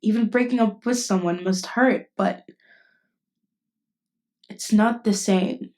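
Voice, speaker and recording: A woman's voice: mid-range voice, slow, a little mellow, close to an everyday voice. A woman voice acting a caring, indignant best friend in an intimate ASMR roleplay, speaking casually and bluntly. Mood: exasperated, earnest. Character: protective, indignant female best friend comforting someone. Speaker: voice actress.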